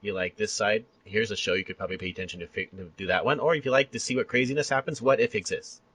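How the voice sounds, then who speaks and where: A man chatting casually as a podcast interviewer, talking in an animated, rambling, enthusiastic way. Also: lowish voice, fast, husky, no room sound. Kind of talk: casual fan chat about entertainment.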